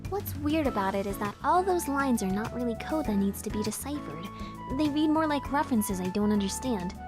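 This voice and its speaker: Actress as an eager, high-voiced young tech whiz. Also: female voice, expressive, fairly quick, close to an everyday voice. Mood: thoughtful. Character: eager, brainy young woman, a robot engineer. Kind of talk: anime visual novel voice acting. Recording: loud music behind the voice.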